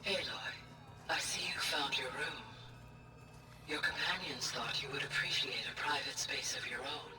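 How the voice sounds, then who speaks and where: Serene, distant-sounding AI. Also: female voice, extremely low pitch, music in the background. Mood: gentle. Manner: formal, measured, gentle. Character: serene, caring female artificial intelligence, motherly and formal. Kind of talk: video game voice acting.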